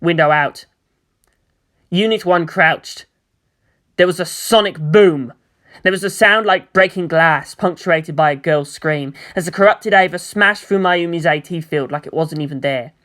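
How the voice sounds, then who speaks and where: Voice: medium pitch, unhurried, a bit bright. A narrator reading scripted fiction aloud in a dramatic storytelling style.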